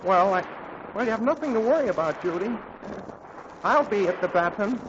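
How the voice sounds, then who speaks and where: A hesitant, apologetic teenage bandleader in a 1940s radio comedy, rambling through excuses. His voice is high for a young man. The sound is a muffled, hissy vintage broadcast. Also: lively intonation, normal speed. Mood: sheepish. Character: sheepish teenage boy bandleader.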